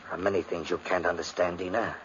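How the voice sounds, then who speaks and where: An actor playing an unstable, sinister brother with melodramatic 1950s radio acting. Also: male voice, low voice, steady tone, fast talker, crowd noise, lightly acted. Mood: curt, menacing. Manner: melodramatic, swinging from curt to frantic. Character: unstable, sinister gothic brother, volatile and tormented. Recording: muffled, hissy 1950s radio recording.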